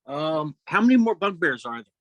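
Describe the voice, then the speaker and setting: Bright-voiced male tabletop gamer. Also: a little high, sing-song, normal speed, dry recording, lo-fi sound. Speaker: tabletop game player. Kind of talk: casual game-table chat.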